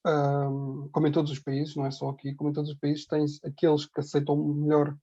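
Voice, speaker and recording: Male interview guest, European Portuguese accent, fairly high and mellow voice, conversational and repetitive, on slightly muffled lo-fi audio. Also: completely flat delivery, brisk. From Ribatejo, Portugal. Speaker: interview guest, emigrant hospital worker. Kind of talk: casual conversational testimony.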